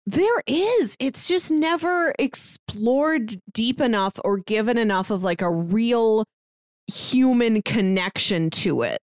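Animated woman podcaster, dry close sound. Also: fairly high pitch, takes it slowly, no room sound. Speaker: podcast co-host reviewing manga. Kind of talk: casual book discussion.

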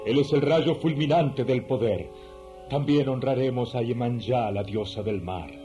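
A man's voice: medium pitch, measured pace, dark tone, lo-fi sound, soft background music, lightly acted. A man acting in a radio drama as a solemn spiritist priest with an incantatory, commanding manner.

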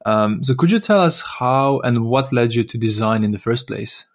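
Male podcast host, mid pitch, friendly, a few ums, easy interviewer. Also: moderate pace. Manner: friendly, slightly hesitant with uh and um.

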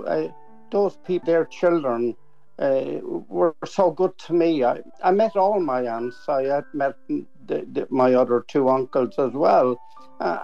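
An older Irish man giving an earnest tribute as an interview guest, emphatic and halting, repeating words as he goes. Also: a little high, darkish tone, highs cut off.

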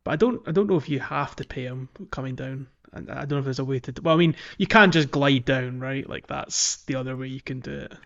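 A man co-hosting a gaming podcast, chatting casually and haltingly as he gathers his thoughts. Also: mid-range voice, a bit bright, lo-fi sound. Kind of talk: casual chat about a video game.

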